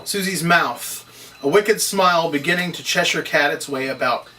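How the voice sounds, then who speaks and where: A man's voice: a little high, moderate pace, pushed and bright. A man reading scripted screenplay narration and stage directions at a table read. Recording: distant mic, faint background noise.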